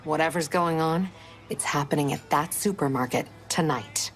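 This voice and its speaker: Serious female informant, distinctly low voice, urgent briefing tone, faint music behind, game drama. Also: normal speed. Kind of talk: dramatic game cutscene acting.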